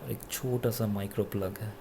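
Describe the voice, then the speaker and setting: A man with an Indian accent giving an unscripted gadget review in a halting, self-correcting way, switching between two languages. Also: fairly low pitch, fairly even intonation, gravelly, noisy background. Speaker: product reviewer. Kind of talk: unscripted gadget review, mixing Hindi with English.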